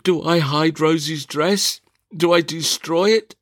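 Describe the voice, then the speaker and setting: A man voicing a fussy, fretful middle-aged mother in a one-man reading of a stage play, shrill and prone to outbursts. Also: steady tone, takes it slowly, fairly bright tone, no echo, studio quality. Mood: agitated.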